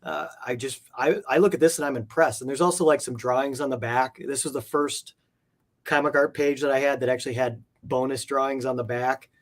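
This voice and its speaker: A man's voice: medium pitch, fairly even intonation, a little fast. Man, mid-range voice, casual interview guest, enthusiastic, rambling, conversational. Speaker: guest, comic art collector.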